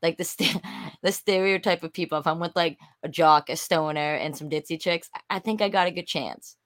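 A woman with a raspy voice, chatting casually as a co-host and peppering her talk with "like". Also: lowish voice, normal speed. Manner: chatty, lots of "like" fillers and laughs. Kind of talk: casual chat.

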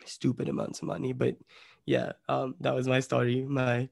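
A man's voice: mid-range voice, monotone, moderate pace, gravelly, a little mellow, dry recording, studio quality. A young man from Bombay telling a story as a podcast guest, rambling and swearing, with lots of "like" fillers. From Bombay, India. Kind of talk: casual storytelling.

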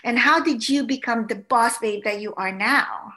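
Woman from the Philippines, podcast host, bubbly and chatty, with a bright tone. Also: a little high, moderate pace. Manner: bubbly, chatty, many fillers. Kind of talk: casual interview.

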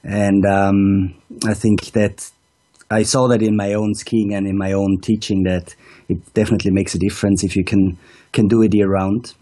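A man, a ski school director, calling in to tell his story calmly and step by step. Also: lowish voice, normal speed. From Austria. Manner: steady, methodical storytelling with "um"s. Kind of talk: casual interview answers. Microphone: calling in over an online video call.

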